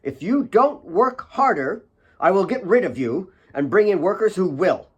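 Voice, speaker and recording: A man voicing a bitter, disdainful young woman, slightly shrill, cutting and threatening, in an audiobook. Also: very animated, normal speed, slightly rough, highs cut off, exaggerated voice.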